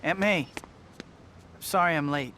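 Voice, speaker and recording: A man's voice: on the high side, takes it slowly, sharp tone, a little hiss or hum. An actor playing an earnest, put-upon young male superhero in a video game, with a wry manner. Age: young adult. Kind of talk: scripted video game acting.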